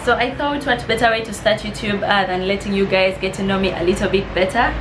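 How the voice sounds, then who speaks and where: A woman's voice: mid-range voice, fairly quick, background noise. A woman of about twenty from East Africa, chatting in a friendly, slightly nervous way as she answers get-to-know-me questions. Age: 20s. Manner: friendly, slightly nervous, reading questions aloud with soft laughs. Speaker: vlogger. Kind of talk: casual Q&A vlog.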